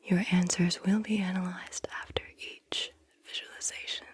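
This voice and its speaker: A woman whispering softly and breathily as she hosts a soothing, deliberate ASMR guided visualization. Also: fairly low pitch, flat delivery. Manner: soothing, slow and deliberate, repeats words softly. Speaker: ASMR host guiding visualization exercises.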